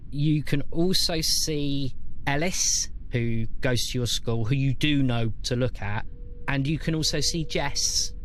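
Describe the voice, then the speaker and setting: Mid-pitched man narrating as game master. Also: a little slow, fairly bright tone. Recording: faint background noise.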